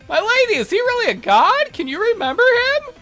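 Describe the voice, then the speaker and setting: A man voicing an excitable, devoted bird attendant in a visual novel, flustered and deferential. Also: extremely high pitch, fairly quick, soft background music, voice pushed up, heavily acted. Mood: awed. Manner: flustered, deferential, easily indignant.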